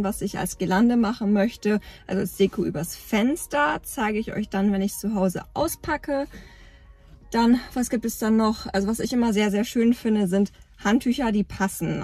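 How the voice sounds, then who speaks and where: Chatty breathy woman vlogging from her car. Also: medium pitch, mellow. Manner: chatty, rambling with frequent fillers. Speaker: camping vlogger. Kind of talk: casual vlog chat. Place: inside a parked car. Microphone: phone or camera at arm's length.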